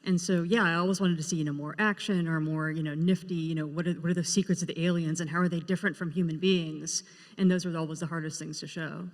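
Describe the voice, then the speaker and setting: A panelist chatting casually in a reverberant live hall. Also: male voice, a little high, fairly even intonation, fast. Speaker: panelist, TV writer. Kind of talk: casual chat.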